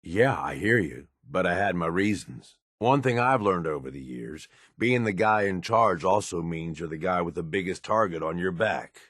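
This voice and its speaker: A deep, close and dry male voice for a video game: a gruff middle-aged raider who serves as the boss's right hand. He talks bluntly and pragmatically, worn down by years of experience, in loose, profane slang. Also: lively intonation, moderate pace, few pauses, fairly bright tone, no echo, exaggerated voice. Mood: matter-of-fact, calculating. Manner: blunt, world-weary, drawling slang and profanity. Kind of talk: video game character dialogue.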